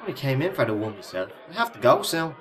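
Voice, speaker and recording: A man's voice: mid-range voice, sing-song, quick pace, natural voice. An actor playing a cautious, worried man among drinking mates in a radio play, uneasy and restrained. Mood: reserved. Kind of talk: radio play acting in colloquial dialogue. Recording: noisy pub crowd behind.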